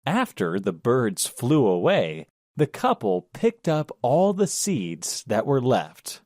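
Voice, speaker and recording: A man narrating a children's story in a measured, expressive storytelling manner. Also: medium pitch, dry recording, studio quality.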